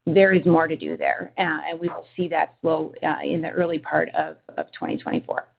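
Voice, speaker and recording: A woman with a low, gravelly, husky voice speaks as a finance executive on an earnings call. She is businesslike and organized, working through points in corporate language. The audio is narrow and phone-like. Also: flat delivery, fairly quick. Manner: businesslike, structured. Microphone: conference call line. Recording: tinny phone-like conference line.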